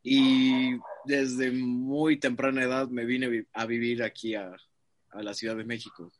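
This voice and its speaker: A man's voice: medium pitch, flat delivery. A man who grew up in the United States, a guest chatting easily on a radio show and laughing often. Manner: slow, easygoing, laughs often. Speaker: guest musician. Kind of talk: radio interview chat.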